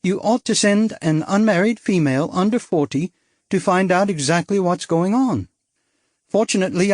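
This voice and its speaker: A daring young actress with an arch, theatrical wit, as a male audiobook narrator puts her on. The voice sits high and light, teasing and quick with a line. It is recorded dry and close, with no room sound. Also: a little mellow, dry recording. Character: witty, daring young upper-class Englishwoman, an actress.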